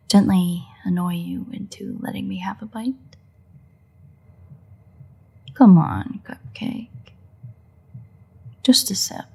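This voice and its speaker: A woman's voice: mid-range voice, gravelly, warm tone, slightly rough, heavily acted. A woman voicing a playful, cocky vampire in an intimate audio roleplay, drawling and teasing with wry sighs. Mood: teasing, coaxing.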